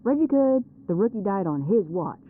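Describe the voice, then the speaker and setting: Actress playing anxious young woman ghost hunter, lively intonation, reluctant, audio roleplay, phone-like radio filter. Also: medium pitch, normal speed. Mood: matter-of-fact. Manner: nervous, expressive. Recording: thin, phone-like radio sound.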